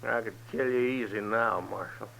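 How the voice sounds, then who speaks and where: Sharp, gravelly gunslinger villain. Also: male voice, fairly low pitch, normal speed, low-quality recording. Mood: menacing. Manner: taunting, cold. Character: cocky, menacing, taunting Western gunfighter. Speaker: radio actor. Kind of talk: 1950s radio western acting.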